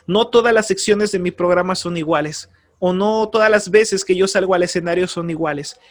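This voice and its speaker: A man teaching a lesson on radio announcing, speaking earnestly and calmly, giving advice straight to his listeners and repeating key terms. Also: high voice. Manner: earnest, advisory, addresses listeners directly. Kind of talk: spoken course lesson.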